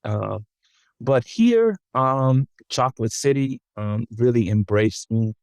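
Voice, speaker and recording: Smooth-voiced man from the Dominican Republic. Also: medium pitch, animated, takes it slowly, darkish tone, no room sound. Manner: thoughtful, many ums and self-corrections. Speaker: podcast guest. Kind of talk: casual interview, switching between English and Spanish.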